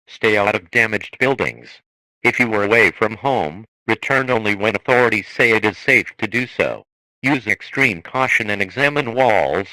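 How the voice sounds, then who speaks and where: A man reading a scripted emergency broadcast in a formal, measured manner. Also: fairly low pitch, sharp tone, dry recording, low-quality recording. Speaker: emergency alert announcer.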